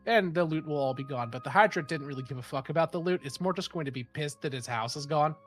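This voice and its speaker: A man with a slightly high, congested, nasal voice, nursing a head cold. He runs a tabletop game in a relaxed, rambling way, full of fillers and casual swearing. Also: fast talker, soft background music. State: stuffy nose from a head cold. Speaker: game master. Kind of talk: running a tabletop game over voice chat.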